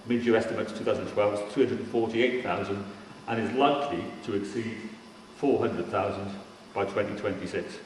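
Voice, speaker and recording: A man from Cardiff in Wales giving an informal but emphatic keynote presentation with slides, restarting sentences here and there. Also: on the low side. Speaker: keynote speaker on regional transport. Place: large indoor forum venue. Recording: very echoey hall, distant mic, faint noise.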